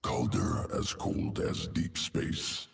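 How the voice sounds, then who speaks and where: A man voicing a cold, obsessive insectoid alien geneticist in a video game, speaking in clipped, telegraphic phrases with a guttural croak. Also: slow, natural voice. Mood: cold, clinical. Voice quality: deep guttural gravelly croak. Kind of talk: video game character dialogue. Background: music.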